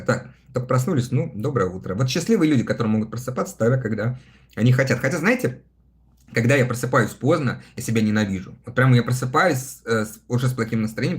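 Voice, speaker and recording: A man in his thirties hosts a relaxed livestream, reading viewer messages aloud and answering them in a chatty way. His pitch sits in a middle register but swings widely and expressively, and he laughs often between comments. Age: 30s. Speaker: live streamer reading viewer chat. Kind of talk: casual livestream chat.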